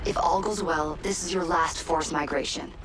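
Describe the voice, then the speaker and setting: An actor playing an urgent tech operative who guides a recruit in a video game, brisk and instructive. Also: male voice, a little high, fairly even intonation, close to an everyday voice. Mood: reassuring, guiding. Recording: loud music behind.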